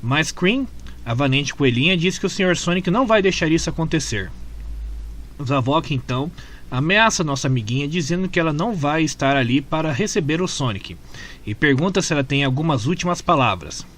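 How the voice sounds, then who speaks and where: A man with a Brazilian accent reading scripted story narration in an expressive storytelling manner. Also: a little high, a little fast. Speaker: narrator of comic recaps.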